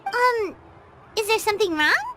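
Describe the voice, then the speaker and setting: Tiny cheerful game sidekick fairy: extremely high, squeaky, bouncy swooping delivery, loud music underneath. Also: female voice, expressive, normal speed. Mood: curious, puzzled. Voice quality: squeaky, childlike. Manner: exclamatory, speaks of herself in third person. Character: small childlike fairy companion girl, cheerful, excitable, naive. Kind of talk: cute anime-style game voice acting.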